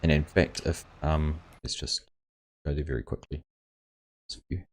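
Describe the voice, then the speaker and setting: A man's voice: a bass voice, fairly even intonation, normal speed. A man hosting a gaming livestream, chatting casually and reading viewers' comments aloud. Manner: rambling, reads chat aloud and answers viewers.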